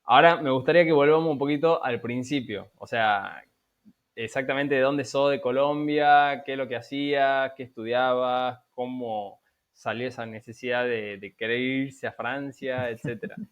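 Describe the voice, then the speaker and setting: An Argentine man hosts a podcast and asks loose questions that trail off, stopping now and then to add his own side remarks. His pitch sits in the middle, and the audio is slightly muffled and lo-fi. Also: steady tone, moderate pace. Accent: Argentine Rioplatense. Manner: laid-back, questions trail off, asides. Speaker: podcast host. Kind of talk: conversational interview.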